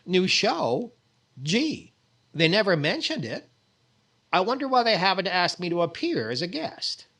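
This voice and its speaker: Vain, flustered 1940s radio comedy lead, played by a man; lightly high, lively pitch, stammering timing. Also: a little slow, heavily acted. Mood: naively miffed. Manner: exclaiming, hesitant stammers, comic timing. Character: vain, naive, fussy comedian, easily flustered, boasting of possessions.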